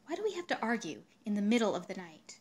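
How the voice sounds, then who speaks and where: A woman's voice: medium pitch, sing-song, fast, darkish tone, slightly rough. Indignant young heroine of a literary story, voiced by a woman reader, firm, guarded, exasperated.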